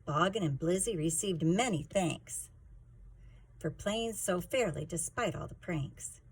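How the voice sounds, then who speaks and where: A woman's voice: fairly low pitch, unhurried, breathy edge, rough recording. A woman reading a rhyming children's story aloud in a theatrical, emphatic way. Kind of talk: expressive rhyming storybook narration.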